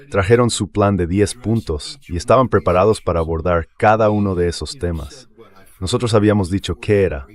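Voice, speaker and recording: A man with a deep voice delivers translated political commentary as an interview guest. He explains his points bluntly and with emphasis. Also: darkish tone.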